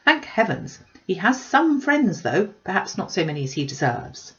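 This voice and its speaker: A woman's voice: mid-range voice, big pitch swings, normal speed, a bit bright, dull audio. A woman voicing a gushing, fussy middle-aged mother who chatters pushily and complains with emphasis in an audiobook. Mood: boastful, aggrieved. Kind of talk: audiobook character voice.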